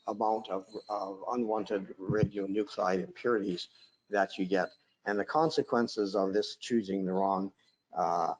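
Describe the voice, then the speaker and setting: A man's voice: deep voice, slight background noise, poor audio. Droning man lecturing with many fillers. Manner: hesitant, many fillers and restarts. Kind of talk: conference lecture on slides.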